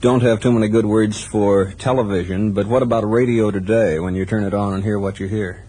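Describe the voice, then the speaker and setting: An American man hosting a radio interview, putting his questions in a measured, courteous way. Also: on the low side, fairly even intonation, mellow, low-quality recording. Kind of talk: broadcast interview, polite questioning. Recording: 1975 radio tape with slight noise.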